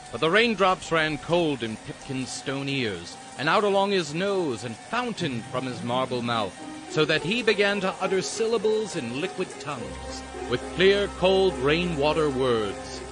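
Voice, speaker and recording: A man reading dramatic storybook narration in a lyrical, literary and expressive manner. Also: fairly high pitch, measured pace. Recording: music under the voice. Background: music.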